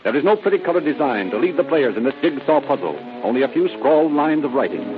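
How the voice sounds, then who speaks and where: A man's voice: mid-range voice, very animated, quick pace, narrow, tinny audio, over music. A man with an American accent narrating a crime drama in a dramatic, florid old-time radio style. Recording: thin old radio recording.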